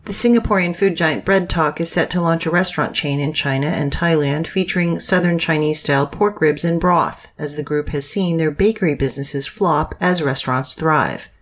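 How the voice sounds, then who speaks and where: Scripted news reader, medium pitch. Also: moderate pace, hardly any pauses.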